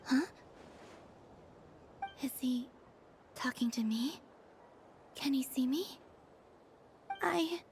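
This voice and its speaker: Hushed, high, slow ethereal maiden. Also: female voice, slight background noise, heavily acted. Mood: curious. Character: soft, wistful, ethereal young maiden, detached and innocent. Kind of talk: video game voice acting.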